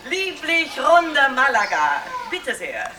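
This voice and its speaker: An actress playing a gushing store wine promoter delivering rehearsed sales patter in a radio play. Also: female voice, sing-song, takes it slowly, noisy background, full character voice. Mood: sales-sweet. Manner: honeyed, rehearsed sales pitch. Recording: echoey, distant from the mic.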